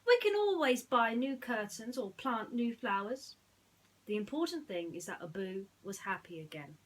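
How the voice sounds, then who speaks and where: A woman's voice: a little high, sing-song, normal speed. A woman voicing a kind, easygoing young storybook hero, in an encouraging, emphatic way. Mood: reassuring.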